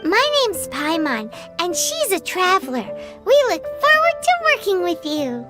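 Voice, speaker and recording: A woman's voice: very high voice, huge pitch swings, measured pace, full character voice. A woman voicing a small, bubbly, childlike fairy companion. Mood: impressed. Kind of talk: video game voice acting. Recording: game cutscene with music.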